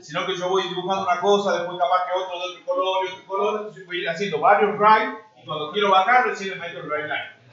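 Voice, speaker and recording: A man, teaching a programming class live, talking while he types and checking in with students. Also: high-pitched. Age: 40s. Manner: talks while typing, asks students checking questions. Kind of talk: live classroom coding lesson. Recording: dull lo-fi classroom recording.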